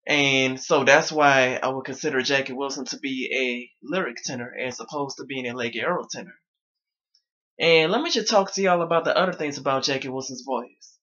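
A man's voice: medium pitch, normal speed, sharp tone, highs cut off. A young man talking casually to his viewers from his bedroom, his voice a little strained. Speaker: vlogger. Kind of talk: casual talk to camera. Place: small bedroom indoors.

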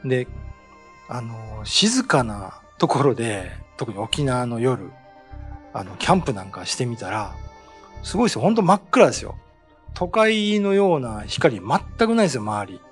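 A middle-aged man, the show's host, chatting about folklore in an animated storytelling manner, acting out sounds, in a small studio at a desk mic. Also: medium pitch, normal speed, soft background music. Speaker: host and ghost-story writer. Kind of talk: casual talk explaining folklore. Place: small studio set with bookshelf backdrop, indoors. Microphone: desk mic on a stand.

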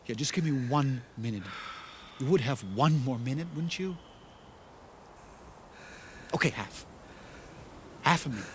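A man's voice: mid-range voice, animated, a little slow, pauses often, a little hiss or hum, lightly acted. An actor playing an eccentric salesman in a cartoon, theatrical and ingratiating as he persuades with grand promises. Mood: pleading.

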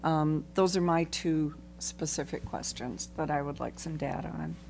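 A middle-aged woman at a school board meeting, asking measured questions into a desk microphone. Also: sits low, steady tone, creaky, a little mellow, a little hiss or hum.

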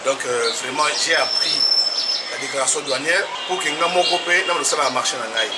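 A man in his fifties with a Congolese French accent giving an earnest, halting testimonial as a former student, on an outdoor veranda. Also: mid-range voice. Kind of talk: testimonial, mixing French and Lingala. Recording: distant mic, loud ambient noise.

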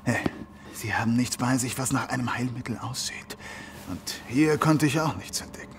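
An actor playing a gruff, threatening but doubting henchman in a German audio drama. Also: mid-range voice, moderate pace, very noisy, natural voice. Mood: doubtful.

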